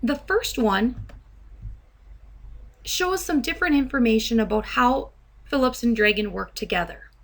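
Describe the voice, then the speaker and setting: A woman software trainer narrating a step-by-step demo in a measured, instructional way. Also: a little high.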